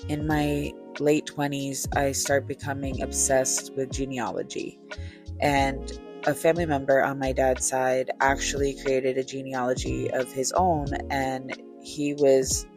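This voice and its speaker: A woman in her thirties chatting casually and relaxed, with easy fillers and light laughter, telling a storytime while beading. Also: very deep voice, measured pace. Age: 30s. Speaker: crafter and vlogger. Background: faint chill music.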